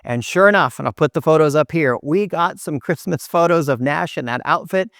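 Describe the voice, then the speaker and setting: A cheerful man chatting to his channel with plenty of ums and uhs. His voice sits a little high and comes across smooth and resonant. The sound is dry and close, with almost no room echo. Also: a little fast, a bit bright. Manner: upbeat, enthusiastic, chatty with fillers. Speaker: vlogger host. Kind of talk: casual chat to camera.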